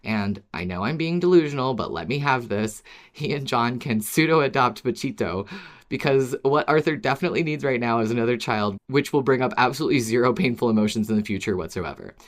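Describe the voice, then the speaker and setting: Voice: mid-range voice, animated, fairly quick. A podcast co-host speaking in a conversational, agreeable way, as in casual chat or reading out listener mail.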